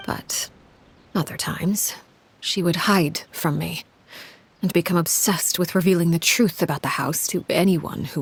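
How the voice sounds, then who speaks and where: A woman voicing a cold, imposing female head of household called Father in a video game, speaking in a measured, formal, menacing way. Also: lowish voice. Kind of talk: scripted video game acting. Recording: clear background noise with clicks.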